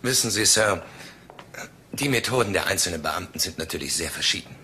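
An actor playing an eager, deferential police sergeant in a German crime radio play, brisk and dutiful. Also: male voice, low-pitched, lively intonation, sharp tone, a little hiss or hum, heavily acted.

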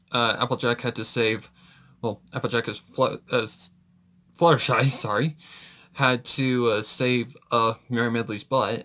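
A man's voice: mid-range voice, a bit bright. A young man giving casual reaction commentary, rambling and hesitant as he hosts from a room indoors. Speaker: reaction video host.

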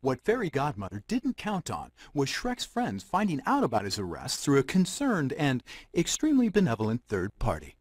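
A man's voice: mid-range voice, huge pitch swings, moderate pace, few pauses, breathy edge, dry recording. A man playing a playful, dramatic storybook narrator in a scripted fairy-tale style.

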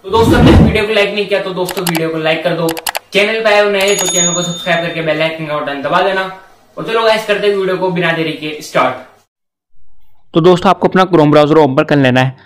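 A man's voice: high-pitched, fast, a little hiss or hum. A young man presenting a tech tutorial as an online video host. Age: young adult. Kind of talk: tech tutorial to camera and screen.

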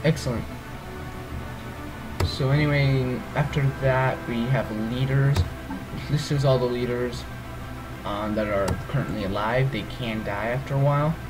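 A man's voice: mid-range voice, fairly even intonation, a little slow, over music, rough recording. A man with a groggy voice walking through a strategy game's menus, hesitant and rambling, full of ums and self-corrections. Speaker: let's play gamer. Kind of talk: casual gameplay walkthrough.

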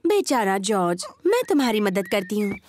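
A woman voicing a caring, cheerful and gently practical mother pig in an expressive children's cartoon dub. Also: medium pitch, moderate pace, heavy background noise, lightly acted. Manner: nurturing, expressive. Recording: music behind the voice.

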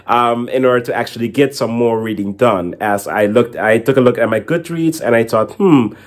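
A man's voice: medium pitch, moderate pace. Young man chatting casually about books. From Caribbean. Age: young adult. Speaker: book reviewer and channel host. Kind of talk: casual talk to camera. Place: small indoor room at home with bookshelf.

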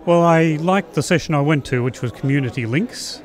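A man's voice: on the high side, fairly quick. A middle-aged male attendee giving a plain, matter-of-fact interview response in a large hall. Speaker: event attendee.

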